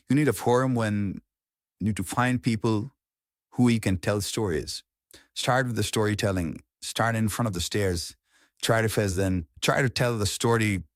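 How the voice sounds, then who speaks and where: A man with an Indian accent speaks in a low voice, recorded close and dry. He teaches informally, in an earnest and somewhat halting manner, leaning on repeated words to make his point. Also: dry recording. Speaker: public speaking coach. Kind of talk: informal instructional talk on public speaking.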